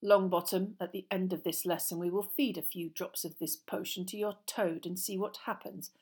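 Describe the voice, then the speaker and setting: A woman voicing a cold, bullying male potions teacher in an audiobook, with a slow, scornful, drawn-out delivery. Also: fairly low pitch, fairly even intonation, husky. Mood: cold contempt.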